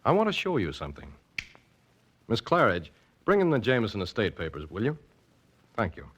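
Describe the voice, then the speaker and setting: Smooth wealthy uncle, genial yet calculating, low voice with swooping intonation, vintage radio drama. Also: male voice, wildly swooping pitch, slight background noise. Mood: suave, probing. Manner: urbane, polite, measured probing. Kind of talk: 1940s radio drama acting.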